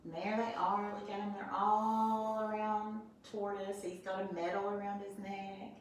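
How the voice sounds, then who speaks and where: Woman, first-grade teacher, gentle storybook narration; far from mic, faint background noise. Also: medium pitch, unhurried. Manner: expressive, gentle, talks to young children. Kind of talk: storybook read-aloud and lesson. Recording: roomy echo from a distance, faint noise.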